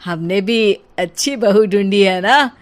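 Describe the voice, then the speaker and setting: A woman's voice: mid-range voice, takes it slowly, lightly acted. An actress voicing a smug, teasing middle-aged man who is a neighbor. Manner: teasing, sly.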